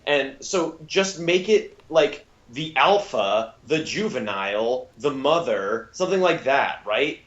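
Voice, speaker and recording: A man co-hosting a podcast in casual, blunt chat, halting and repeating words emphatically, on slightly dull audio. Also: medium pitch, animated. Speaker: podcast co-host reviewing audio dramas. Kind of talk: casual, sweary review chat.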